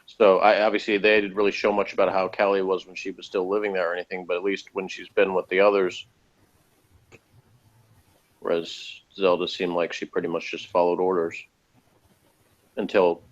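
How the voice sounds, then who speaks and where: A man's voice: low voice, flat delivery, normal speed, pauses often, lo-fi sound. A man chatting informally as he co-hosts a TV review, talking in a hesitant, rambling way. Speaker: co-host of a TV review show. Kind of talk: casual review chat.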